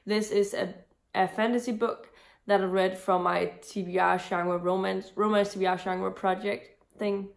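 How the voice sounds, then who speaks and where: A woman's voice: mid-range voice, steady tone, normal speed, gravelly. A young woman in her twenties with a voice strained by a cold, chatting casually about books in a home vlog, rambling with frequent restarts. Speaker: book vlogger. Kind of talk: casual book review vlog. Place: home room with bookshelves, indoors.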